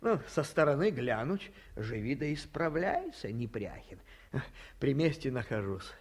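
A man's voice: mid-range voice, very animated, measured pace. An actor playing a garrulous, emotional old provincial man in a 1950s radio play, with folksy old-fashioned speech. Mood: boastful. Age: older. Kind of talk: 1950s radio drama acting.

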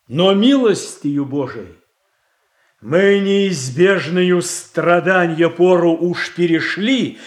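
An actor playing a measured, self-assured statesman in a verse radio play, speaking in a deliberate, formal, declamatory way. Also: fairly high pitch. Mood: stern, persuasive. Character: measured, self-assured statesman boyar defending his reforms.

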